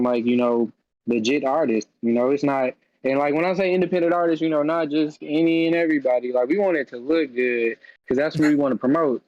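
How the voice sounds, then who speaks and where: A man chatting as a podcast guest over a video call, relaxed and enthusiastic, leaning on fillers as he goes. His voice sits at a middling pitch. The audio is dry and slightly dull. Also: no echo. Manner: loose, filler-heavy, enthusiastic talk. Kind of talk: casual chat. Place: home room on a video call.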